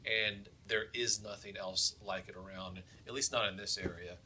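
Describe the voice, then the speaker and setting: A man's voice: low voice, fairly even intonation, normal speed, bright, forceful tone, a little hiss or hum, low-quality recording. A man co-hosting a show in casual chat, rambling emphatically and circling back over his points. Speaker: show co-host.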